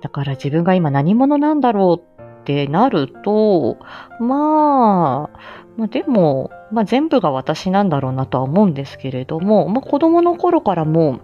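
A woman with a mature, low-set voice hosting a casual solo live stream, rambling in hesitant, self-effacing phrases with frequent small chuckles. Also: big pitch swings, normal speed. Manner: chuckles often between phrases. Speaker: live stream host and amateur voice actor. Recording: dull lo-fi audio, faint music behind.

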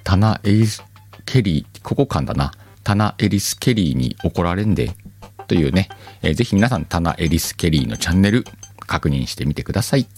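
A man hosting a casual podcast, with a low voice that swings up and down. He chats in a relaxed, joking, self-deprecating way. Soft background music plays faintly behind him. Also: brisk. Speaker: podcast host. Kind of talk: casual chat.

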